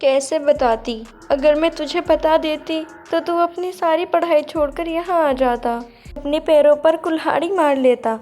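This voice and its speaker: A woman's voice: high-pitched, unhurried, a little mellow, faint music behind. A woman voicing a poor, long-suffering village mother-in-law in a cartoon moral story, plaintive and motherly. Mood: sorrowful relief.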